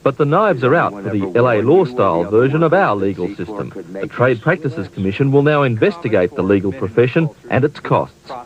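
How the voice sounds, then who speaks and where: A man narrating a TV news report with a lively, emphatic reading. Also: mid-range voice, a little fast, hardly any pauses, crowd noise. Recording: muffled old TV broadcast.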